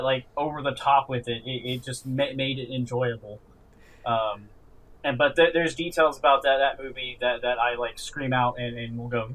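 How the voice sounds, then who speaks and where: Guy on a podcast, stutters and restarts a lot, swears, normal pitch, casual chat. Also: fairly bright tone, rough recording. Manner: halting, stammers and repeats words. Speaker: podcast co-host. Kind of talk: casual group chat about films and games.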